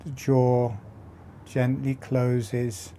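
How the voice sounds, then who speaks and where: Male meditation guide, slow and steady, low-key even delivery, mellow tone. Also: a little hiss or hum. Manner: slow, soft, halting phrases with long pauses. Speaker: meditation teacher.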